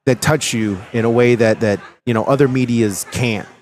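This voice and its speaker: A man from Miami talks casually at a middle pitch, circling his point with restarts and "you know"s. He is an industry guest in a relaxed interview. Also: normal speed. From Miami, Florida. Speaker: game developer guest.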